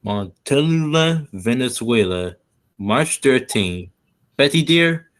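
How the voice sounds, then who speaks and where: A man acting an ardent young suitor reading out his love letters in a 1940s style radio play, earnest and pleading. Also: medium pitch. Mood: pleading, devoted.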